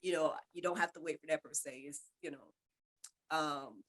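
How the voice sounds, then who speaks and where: Woman, lowish voice, podcast host, casual interview chat, informal rambling delivery. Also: moderate pace. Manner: informal, rambling, repeats "you know".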